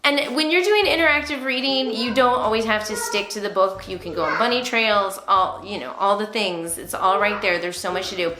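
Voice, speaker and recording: A woman's voice: mid-range voice, a little fast, few pauses, pushed and bright, a little hiss or hum. A woman hosting a homeschooling vlog, explaining things to other parents in an encouraging way. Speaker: homeschooling mother and vlog host.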